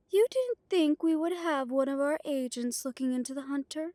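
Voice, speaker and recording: A woman playing a proud, commanding female vampire coven leader in an audio drama, speaking in a deliberate, imperious manner. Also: high voice, mellow, no echo, natural voice. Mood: cold anger. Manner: slow, deliberate, imperious speech.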